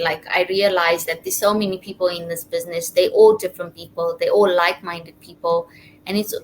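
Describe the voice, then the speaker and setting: A woman from Zimbabwe with a slightly low voice talks casually as an interview guest. She is on a livestream call with faint background noise. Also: poor audio. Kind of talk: casual personal testimonial.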